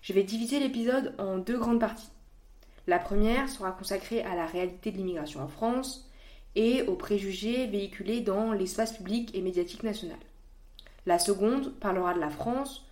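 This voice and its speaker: A woman hosting a podcast, explaining things in a scripted, structured narration. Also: mid-range voice. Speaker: podcast host.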